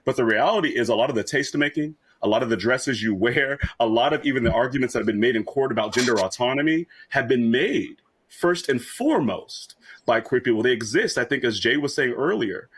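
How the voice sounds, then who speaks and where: A man's voice: medium pitch, expressive, fairly bright tone, breathy edge. A man from rural Kansas arguing his points in a casual panel discussion. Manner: argumentative, rapid and emphatic.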